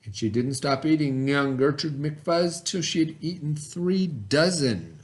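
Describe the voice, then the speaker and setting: A man reading a rhyming children's story aloud in a theatrical, expressive way, with dramatic pauses. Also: fairly high pitch. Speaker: storybook reader.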